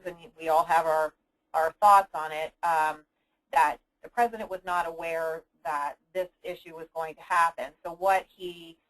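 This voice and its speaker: A woman hosting an informal business briefing for agents, explaining things in an instructive, deliberate way with pauses mid-sentence. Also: on the low side, low-quality recording. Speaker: host of an insurance agent conference call.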